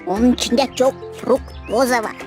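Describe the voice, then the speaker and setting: A high-pitched, naive little child from a children's cartoon , acted by a woman. The kid speaks with a Gagauz accent, cheeky and eager, with light music underneath. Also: normal speed, natural voice. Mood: earnest, boastful.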